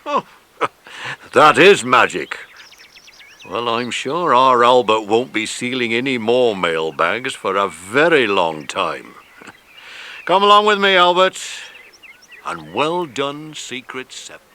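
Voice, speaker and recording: An actor playing a genial, authoritative police inspector in a children's audio drama. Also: male voice, medium pitch, very animated, slow, long pauses, sharp tone, full character voice. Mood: delighted. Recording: faintly noisy cassette transfer.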